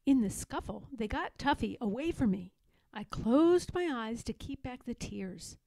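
A woman narrates a children's picture book with lots of expression. Her voice is on the high side and swoops widely, while her pace stays slow, with dramatic weight on key words. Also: lively intonation, creaky, darkish tone, no echo. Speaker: storybook reader.

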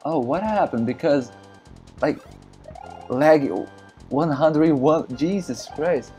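A man from Brazil whose first language is Portuguese, chatting casually in halting English with many fillers and frequent laughs while streaming a game. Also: on the high side, dark tone, soft background music. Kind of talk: casual live-stream commentary.

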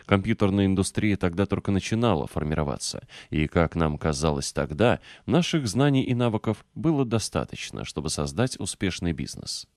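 Deep-voiced male audiobook narrator. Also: animated, normal speed, few pauses, darkish tone, dry recording, clean studio sound.

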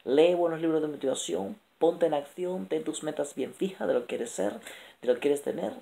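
Gravelly-voiced man giving an energetic motivational pep talk. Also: on the high side, moderate pace. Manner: energetic, gesturing a lot, repetitive lists. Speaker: author and motivational speaker. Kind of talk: late-night motivational vlog. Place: small room indoors at night. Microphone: camera held close.

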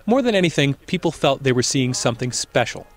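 Man reading a news report. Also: medium pitch. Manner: measured, even reporting. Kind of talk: scripted news narration.

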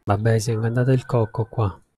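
A man chatting casually with viewers as he livestreams a game, haltingly thinking aloud. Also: lowish voice, level tone, warm tone. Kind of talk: casual chat with stream viewers while gaming.

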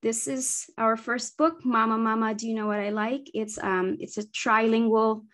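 A woman's voice: fairly high pitch, level tone, moderate pace, a bit bright. Woman from the Philippines, Bisaya native speaker, 40s, earnest, lots of ums, laptop webcam audio. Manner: earnest, thoughtful, with frequent ums and self-corrections. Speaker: children's book publisher. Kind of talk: presentation over video call. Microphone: laptop webcam on video call.

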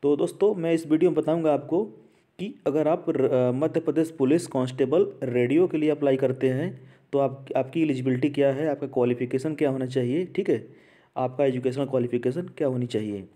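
A man's voice: medium pitch, fairly quick, mellow. A man hosting an informal educational explainer, talking conversationally with repeated phrases and frequent check-in tags. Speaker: host of an education channel. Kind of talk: informal explainer to camera-less video audience.

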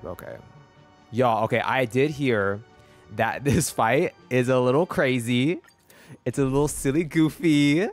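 A man's voice: medium pitch, measured pace. A young man chatting casually on a gameplay livestream in a slangy, rambling, playful way. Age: young adult. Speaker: video game streamer. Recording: faint game music behind.

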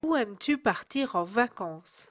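A woman reading a scripted voice-over and putting interview questions in a clear, measured way. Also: a little high, expressive, no room sound, clean studio sound. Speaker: narrator and interviewer.

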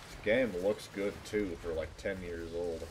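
A man doing casual live game commentary as a streamer, with deadpan joking. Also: low voice, a little slow, slight background noise, poor audio. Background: game music and sound.

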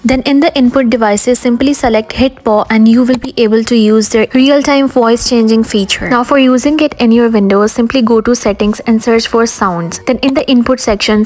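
A woman hosting and narrating a scripted step-by-step software tutorial. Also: a little high, a little fast, hardly any pauses. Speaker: tutorial host. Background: background music.

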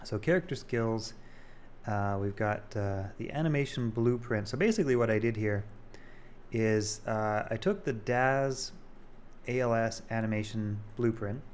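Low-voiced man, casual demo, noisy recording. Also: a little mellow. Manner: halting, thinking aloud. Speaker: game developer showing a project.

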